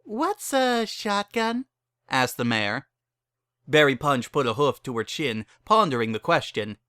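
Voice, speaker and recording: A man narrates a story as a dramatic reading. His voice is bright and mid-pitched, recorded very dry and close. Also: moderate pace, no echo. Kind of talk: dramatic reading of fan fiction.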